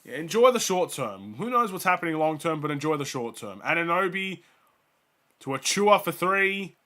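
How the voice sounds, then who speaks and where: A man's voice: on the high side, sing-song, normal speed. Sick young man calling basketball plays. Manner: rattles off stats, gets louder on big plays. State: sick, voice going. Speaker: fan host of a watchalong stream. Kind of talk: casual play-by-play and stats commentary.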